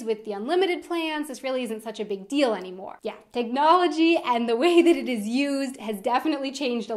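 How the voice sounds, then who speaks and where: A young American woman presenting a vlog to camera in an expressive, emphatic manner. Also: sits high. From United States. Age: young adult. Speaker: video host. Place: indoor room with decorated wall backdrop.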